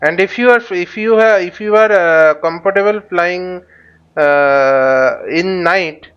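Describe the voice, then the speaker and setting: Higher-voiced man with a clear, smooth tone. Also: muffled recording. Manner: halting, repeats and rephrases phrases. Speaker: flight simulator instructor and channel host. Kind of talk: live tutorial commentary while flying a simulator.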